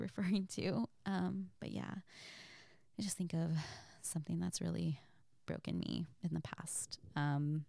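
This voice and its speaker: A woman chatting casually as a host, reading out and answering listener questions in a soft, giggly, rambling and hesitant way. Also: lowish voice, vocal fry, no room sound, clean studio sound. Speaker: audio creator and host.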